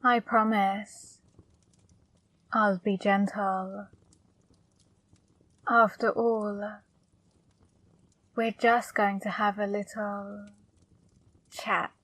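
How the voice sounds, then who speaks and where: A woman playing a flirty, teasing female demon in an intimate ASMR roleplay, speaking softly and breathily, coaxing and gently scolding up close. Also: mid-range voice, level tone, very slow, pauses often, no room sound, full character voice. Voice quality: soft, breathy, partly whispered. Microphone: close microphone, dry.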